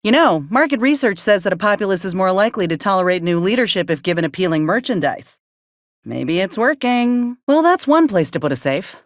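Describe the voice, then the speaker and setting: A woman's voice: medium pitch, sing-song, a little fast, no echo, studio quality, close to an everyday voice. A woman voicing a plainspoken, wry frontier companion in a video game, with a folksy Southern American drawl.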